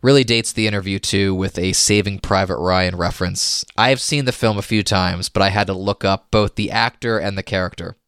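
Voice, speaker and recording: A man's voice: low-pitched, fairly even intonation, brisk, few pauses, fairly bright tone, clean tone, no room sound, clean studio sound. A man hosting a podcast, reading a scripted history segment with casual asides. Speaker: podcast host.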